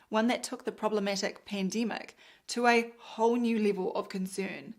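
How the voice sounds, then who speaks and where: A woman with a New Zealand accent, a doctor narrating her own nonfiction audiobook in a measured, deliberate reading style. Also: medium pitch.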